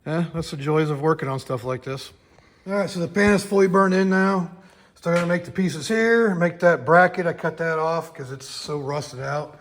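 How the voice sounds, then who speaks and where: A hoarse man who is losing his voice after being sick, talking casually through a repair job in his home garage, rambling with lots of fillers. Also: a little high, normal speed. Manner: rambling, easygoing, many fillers. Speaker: host of a home auto repair channel. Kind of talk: casual talk-through of a shop project.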